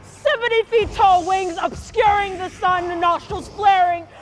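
A woman's voice: high-pitched, takes it slowly, far from the mic. A young woman acting a dramatic monologue as a wry, defiant woman in labour, breathless and pleading. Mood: fierce, strained. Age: young adult. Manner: dramatic, breathless, with sardonic asides. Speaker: student actor. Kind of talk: theatrical monologue performance.

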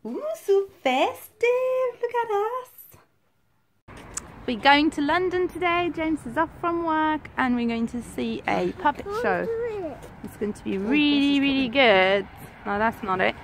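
Casting: young woman, high voice, unhurried casual mum-vlogger chat, noisy handheld phone sound. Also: animated. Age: young adult. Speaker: vlogger and mother. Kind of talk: casual vlog chat to camera. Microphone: handheld phone or camera at arm's length. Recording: noisy handheld vlog recording, car rumble.

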